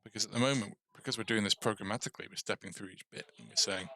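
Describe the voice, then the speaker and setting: A man's voice: lowish voice, very fast, bright, forceful tone. A man casually thinking aloud as he hosts a game coding tutorial livestream. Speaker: game development tutorial host.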